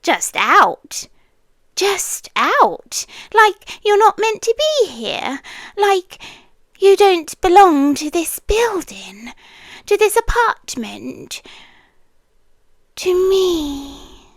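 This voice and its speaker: Woman playing a slow, high-voiced British yandere landlady. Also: big pitch swings, fairly bright tone, no room sound, heavily acted. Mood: jealous, menacing. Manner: breathy, often dropping to a whisper, coaxing. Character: possessive yandere landlady, doting, controlling, quietly menacing. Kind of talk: yandere girlfriend ASMR roleplay, slow and intimate. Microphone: close ASMR microphone, dry.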